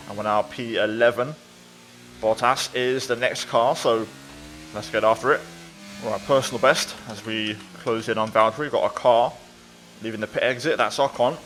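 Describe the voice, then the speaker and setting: A man's voice: mid-range voice, fairly even intonation, moderate pace, a bit bright, slight background noise. A man giving casual, upbeat gameplay commentary as a video creator.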